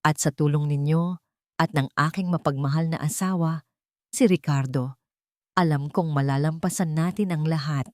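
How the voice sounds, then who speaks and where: Two-faced charity hostess, played by a woman narrator: gracious, syrupy manner over a low, dry voice, audio drama. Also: fairly even intonation, normal speed, a little mellow, no echo, close to an everyday voice. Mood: sweetly grateful. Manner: sweet, grateful, performatively tender.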